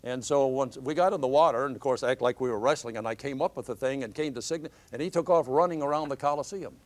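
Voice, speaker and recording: A man's voice: mid-range voice, fast talker. A man, a radio veteran, reminiscing casually and teasing his colleagues as he tells stories. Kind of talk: casual group reminiscing, storytelling.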